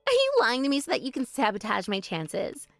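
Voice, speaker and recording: A woman's voice: on the high side, wildly swooping pitch, a little fast, gravelly, no room sound, soft background music, full character voice. A woman doing a spiteful, bratty younger sister. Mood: indignant. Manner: mocking, spiteful bragging. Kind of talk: voice drama, one performer.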